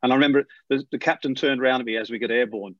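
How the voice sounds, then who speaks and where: A middle-aged man with an Australian accent, softened by decades in England, tells his story at a rapid clip. He stumbles and restarts often as he warms up. The recording is dry and a touch muffled. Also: medium pitch, dry recording. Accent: Australian, softened by years in England. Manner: animated storyteller, quick with many restarts. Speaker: airline pilot guest. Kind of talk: storytelling interview. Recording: slightly dull lo-fi sound.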